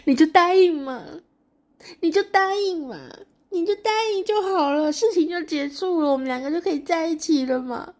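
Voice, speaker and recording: A woman voicing a tearful young woman in love, pleading in a high, sobbing, coaxing voice as she tells a story. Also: moderate pace, a little mellow.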